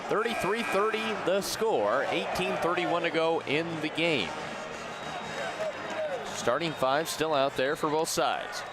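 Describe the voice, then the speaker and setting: Young adult male, slightly raised pitch, live sports play-by-play, noisy venue behind him. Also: slow pace, over a noisy crowd. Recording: noisy arena and ballpark ambience. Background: sports venue noise, bouncing basketballs.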